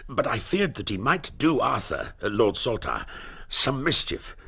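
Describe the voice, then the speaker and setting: An actor playing an anguished, proud middle-aged duke confessing shameful secrets, with formal, grave, pleading delivery in a period detective drama. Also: male voice, medium pitch, sing-song, measured pace, vocal fry, sharp tone, breathy edge, exaggerated voice. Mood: ashamed, regretful.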